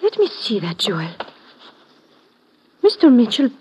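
A woman's voice: fairly high pitch, huge pitch swings, a little slow, a little mellow, a little hiss or hum. A woman playing a polite, earnest assistant to a doctor, in radio drama style. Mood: alarmed. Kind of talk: 1950s radio drama acting. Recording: dull vintage radio broadcast.

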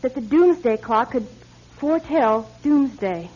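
A woman's voice: on the high side, animated, a little slow, dark tone, breathy edge. An actress playing an anxious, superstitious woman with an earnest, urgent manner in a radio drama. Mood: ominous, urgent. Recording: dull lo-fi radio recording.

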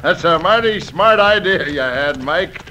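A man playing a deferential, flattering outlaw henchman with a Western American accent in an old radio western. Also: a little high, measured pace. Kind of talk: 1940s-50s radio western acting. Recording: noisy old radio recording.

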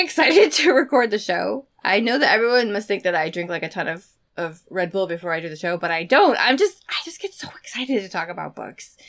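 A woman in her forties co-hosting a book podcast, bubbly and giggly, talking excitedly and casually. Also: mid-range voice. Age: 40s. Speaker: podcast co-host.

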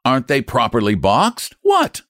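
A man voicing an enraged, sarcastic customer who shouts and sputters over a shipping fee. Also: low voice, huge pitch swings, normal speed, dry recording, studio quality, pitched below the performer's own voice. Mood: furious. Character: furious, sarcastic man shipping guinea pigs.

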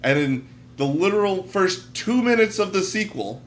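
A man's voice: high voice, lively intonation, normal speed. A man talking casually as a panelist on a podcast. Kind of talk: casual chat. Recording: faint background hum.

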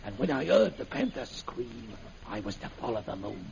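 A man's voice: mid-range voice, big pitch swings, moderate pace, creaky, darkish tone. An actor playing an imprisoned middle-aged father, anxious and emphatic, in the style of a 1940s radio serial. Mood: eager, secretive. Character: imprisoned British man, anxious father, eager conspirator. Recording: muffled, noisy old radio recording.

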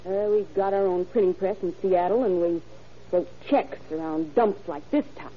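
A woman's voice: fairly low pitch, moderate pace, natural voice. An actress playing a brazen female forger who feigns innocence in an old-time radio crime drama, with theatrical delivery. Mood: hard, scornful. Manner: theatrical, switching between pleading and hard-boiled. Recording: muffled, noisy vintage radio recording.

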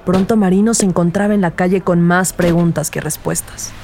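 A woman's voice: on the low side, fairly even intonation, brisk, warm tone, slight background noise, close to an everyday voice. A woman playing an earnest rookie detective who narrates her case in a scripted audio drama. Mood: measured, narrating. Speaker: narrator and lead character.

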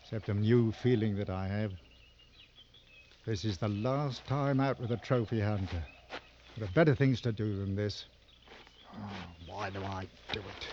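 Man acting a gruff, sardonic safari hunter, muffled old radio. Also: fairly low pitch, moderate pace, long pauses, lightly acted. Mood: weary, brooding. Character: weary, sardonic male big game hunter and safari guide. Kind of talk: 1940s-60s radio drama acting. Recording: dull, noisy old radio recording.

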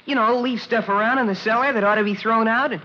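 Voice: medium pitch, fairly even intonation, pushed and bright, natural voice. A performer playing an eager, earnest, stammering schoolboy in a 1940s radio drama. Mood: flustered. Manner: halting, stammering. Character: eager, flustered schoolboy, golly-gee earnest. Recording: noisy, dull vintage radio recording.

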